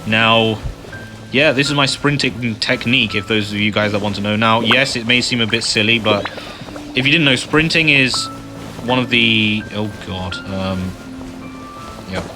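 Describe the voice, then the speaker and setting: A man's voice: on the low side, moderate pace. A man giving casual, rambling commentary while playing a video game, with frequent fillers and muttered asides. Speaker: gaming video host. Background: game music and sound effects.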